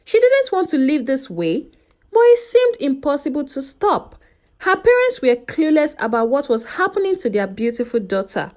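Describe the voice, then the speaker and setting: A woman narrating a folktale as a scripted story, with an expressive, dramatic delivery. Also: sits high, moderate pace.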